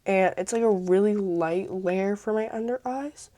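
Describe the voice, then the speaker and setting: Hoarse teenage girl vlogging her makeup. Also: mid-range voice, unhurried, dark tone. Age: teens. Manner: chatty, filler-heavy, self-mocking. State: hoarse from a cold. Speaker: beauty vlogger. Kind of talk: casual makeup tutorial.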